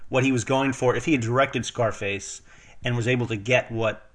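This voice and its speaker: A man's voice: medium pitch, fairly even intonation, moderate pace. A man hosting an interview podcast, speaking in rambling, filler-heavy sentences. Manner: rambling, heavy use of fillers like "you know" and "uh". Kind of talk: casual interview chat.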